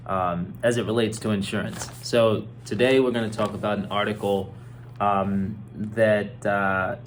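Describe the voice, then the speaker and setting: A hoarse man with a cold, an insurance agent casually explaining things with many fillers and repeated words. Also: fairly low pitch, slight background noise. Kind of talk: casual explainer talk to camera.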